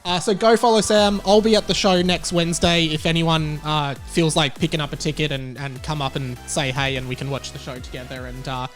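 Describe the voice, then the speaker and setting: A man with an Australian accent and a high-pitched voice talks casually as a podcast host. He is jokey and conversational, riffing on silly details with a guest. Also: little pitch movement, brisk, hardly any pauses, soft background music. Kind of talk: casual comedic chat.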